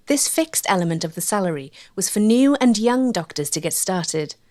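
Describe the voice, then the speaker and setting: Casting: female documentary narrator, medium pitch, scripted historical storytelling read.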